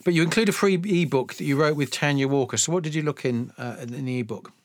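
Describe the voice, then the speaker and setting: A man's voice: fairly high pitch, quick pace, dry recording. A man hosting a radio interview, asking friendly questions.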